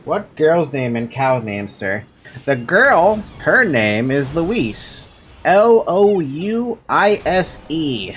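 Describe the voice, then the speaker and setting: Animated, playful game streamer. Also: mid-range voice, takes it slowly, soft background music. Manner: rambling, playful, chatting with viewers. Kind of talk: casual livestream chat over gameplay.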